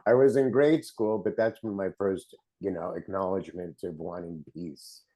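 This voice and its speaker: A man's voice: sits low, moderate pace, dark tone. A man hosting a podcast interview, friendly and a bit rambling, with sentences that trail off. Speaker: podcast host.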